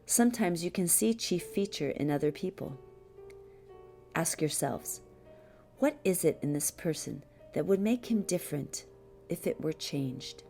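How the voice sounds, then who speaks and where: A woman narrates a spiritual text in a soft-edged, mellow voice that sits slightly low. She reads in a measured, deliberate way, breaking sentences into short phrases with pauses. Faint-to-clear music plays behind her. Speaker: audiobook reader.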